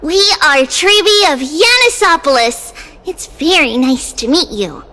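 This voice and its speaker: A woman voicing a sweet, reassuring little girl oracle in a video game, polite and gentle. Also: high-pitched, big pitch swings, unhurried, heavily acted. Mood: polite, welcoming. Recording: game music under voice.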